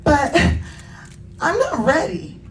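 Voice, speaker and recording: A woman as a plaintive, high little unicorn girl. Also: huge pitch swings, takes it slowly, slight background noise, full character voice. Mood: worried, pleading. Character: anxious little girl unicorn, reluctant and pleading. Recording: roomy, distant public-address sound.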